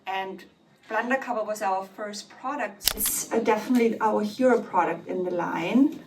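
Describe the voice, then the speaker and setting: A woman's voice: medium pitch, moderate pace, background noise. Chatty woman, distant phone mic. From Austria. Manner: chatty and upbeat, with fillers like "you know". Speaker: makeup artist and beauty brand founder. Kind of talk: casual vlog and product interview.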